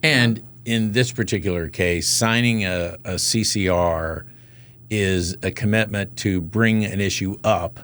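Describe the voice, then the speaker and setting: A man with a very deep voice hosts a talk show and puts questions to his guests. He builds each question slowly with pauses and fillers. A faint hiss of noise sits behind him. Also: a bit bright. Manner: measured, pauses with fillers while framing questions. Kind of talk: interview questions.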